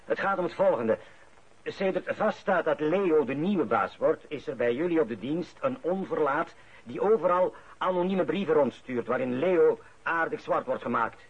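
A husky older Flemish father coaxes and soothes. His pitch moves in wide, animated swings, and the recording is muffled. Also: moderate pace. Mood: urgent. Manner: coaxing, animated, persuasive. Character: older father, soothing and coaxing, quietly scheming. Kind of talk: radio play acting. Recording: muffled radio drama recording.

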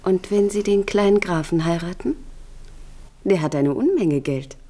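A woman's voice: on the low side, animated, a little slow, dark tone. A woman playing a young, coolly ambitious schemer in a German radio play, polite and insinuating in manner. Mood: persuasive. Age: young adult.

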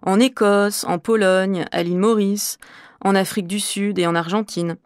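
A woman from Normandy, a French teacher, answering interview questions in a measured, clear, explanatory way. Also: mid-range voice, fairly even intonation, no room sound, studio quality. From Normandy, France.

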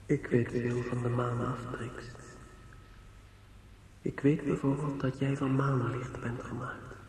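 An actor playing a tender, grieving young son in a radio drama, speaking in a hushed, dreamy, repetitive way. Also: male voice, medium pitch, flat delivery, slow, slight background noise, lightly acted. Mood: dreamy, morbid. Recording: very echoey hall-like reverb, music behind. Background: music.

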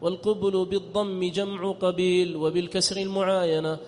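A man's voice: high-pitched, level tone, moderate pace, darkish tone, soft background music. A man reading a classical text aloud as a student, in a formal recitation that partly turns to chant. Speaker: student reader. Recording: echoey hall, background noise.

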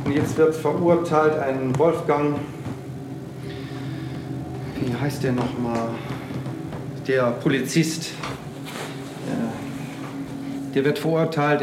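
A man giving a rambling personal monologue, speaking haltingly, often repeating himself and circling back on his phrases. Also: mid-range voice, background noise.

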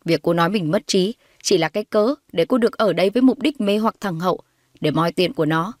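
A woman's voice: lowish voice, a little fast, no room sound, natural voice. A haughty middle-aged matriarch played by a woman narrator in an audio drama. She is cold and scornful, sneering her accusations, and the sound is close and dry. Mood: angry, accusing.

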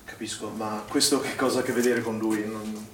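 A man's voice: lowish voice, little pitch movement, fairly quick, lightly acted. An actor playing a concerned, inquisitive man who works at a pool, asking insistent questions conversationally in an Italian stage drama. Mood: concerned, probing. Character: concerned, inquisitive male swimming pool colleague. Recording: distant echoey stage recording with background noise.

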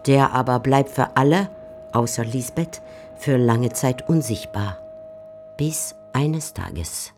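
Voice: mid-range voice, lively intonation, slow, over loud music. A narrator commenting on a classic novel in a radio drama, wry and rhetorical. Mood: wry, explanatory.

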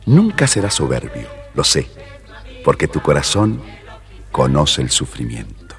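A man's voice: extremely low pitch, big pitch swings, measured pace, soft background music. A man narrating a scripted children's story expressively as storyteller.

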